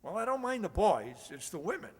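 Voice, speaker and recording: A man acts out an irascible old superintendent in a radio comedy. He grumbles and splutters in a high, animated voice, ringing through a hall. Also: fairly quick, husky, full character voice. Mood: cranky, exasperated. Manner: grumbling, spluttering, folksy mild oaths. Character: cranky old waterworks superintendent, irascible woman-hater. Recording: reverberant hall, stage re-enactment.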